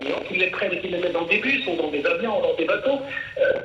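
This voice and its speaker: A man's voice: sits high, lively intonation, fast, heavy background noise. A man giving explanatory radio commentary, hesitating as he searches for words. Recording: dull, echoey, distant radio playback.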